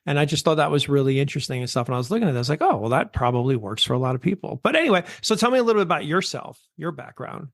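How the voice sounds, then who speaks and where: A man's voice: fairly high pitch, very animated, racing, creaky, hardly any pauses, no room sound, studio quality. A man from New York hosting a podcast interview, rambling casually with lots of filler words and restarts. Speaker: podcast host.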